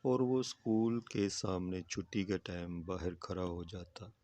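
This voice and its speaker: A man narrating a scripted moral tale for a cartoon in a simple, deliberate storytelling manner. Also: deep voice, darkish tone, no echo.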